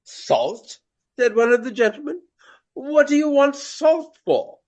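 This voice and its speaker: A man playing a pompous Regency gentleman and ham tragedian in a radio play, with grandiloquent, melodramatic delivery. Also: extremely high pitch, very animated, slow, exaggerated voice. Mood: self-pleased, amused. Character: pompous Regency gentleman, a hammy amateur tragic actor. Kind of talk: declaimed theatrical radio play acting.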